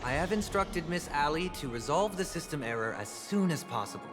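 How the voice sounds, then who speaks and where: An actor playing a composed, authoritative male leader in a video game, speaking with measured, diplomatic politeness. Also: fairly high pitch, animated, lightly acted. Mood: formal apology. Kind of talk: dubbed video game dialogue. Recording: game audio over music.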